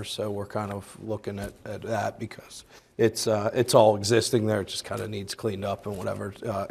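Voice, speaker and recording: A man giving a council committee report in a rambling, halting way with many fillers. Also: mid-range voice. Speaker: council member. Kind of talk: meeting report.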